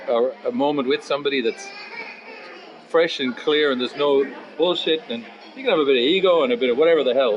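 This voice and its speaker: Blunt, rambling fifty-year-old man, dull recording. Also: mid-range voice. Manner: blunt, sweary, rambling, animated asides. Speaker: musician and guitar teacher being interviewed. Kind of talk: casual philosophical interview chat.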